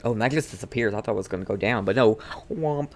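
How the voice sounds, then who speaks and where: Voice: medium pitch, fast talker, a little hiss or hum. A streamer giving casual, playful video game commentary, muttering reactions aloud.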